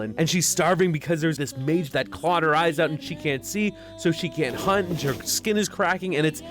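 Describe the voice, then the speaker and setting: Man presenting a casual game review over music. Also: on the high side. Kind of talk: semi-scripted review voice-over. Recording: music under the voice.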